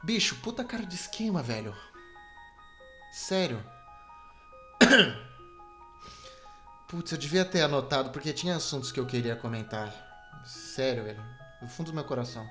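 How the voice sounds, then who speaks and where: A man's voice: medium pitch, expressive, moderate pace, pauses often, sharp tone. A young adult man with a Brazilian Portuguese accent rambles casually as a solo podcast host, slangy and self-deprecating. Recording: video game music behind the voice. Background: Super Nintendo game music.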